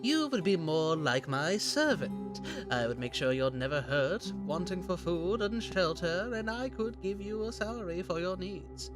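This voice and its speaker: A man voicing a sly, courteous, menacing gentleman hunter in a comic dub, speaking in a theatrical, genteel way. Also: high-pitched, lively intonation, unhurried, hardly any pauses. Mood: persuasive, sly. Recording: background music under voice.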